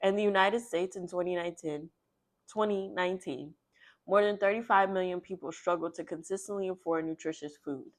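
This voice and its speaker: A woman hosting a children's read-aloud, speaking in an expressive, emphatic storyteller's manner. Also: on the low side.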